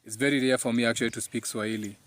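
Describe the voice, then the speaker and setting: A man's voice: medium pitch, steady tone, racing, a bit bright. A man with a Kenyan accent and Kikuyu as his first language, speaking informally as the host of a live talk, emphatic and preachy, often repeating his phrases.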